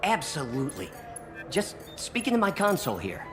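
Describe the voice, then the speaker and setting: A man playing an eager, chatty, deferential software shopkeeper in a video game, polite and enthusiastic. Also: fairly high pitch, wildly swooping pitch, moderate pace, over music. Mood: pleased.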